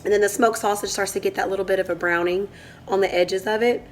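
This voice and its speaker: A woman with a hoarse voice from a cold, casually walking through a home cooking recipe step by step in her kitchen as the channel's host. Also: medium pitch, fairly even intonation, fast talker, a little hiss or hum. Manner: chatty, explains step by step.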